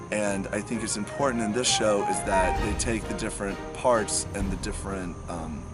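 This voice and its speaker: A man with a fairly low voice speaks reflectively, as a cast member being interviewed. He pauses and picks his words with thought, while music plays behind him. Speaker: community theatre cast member.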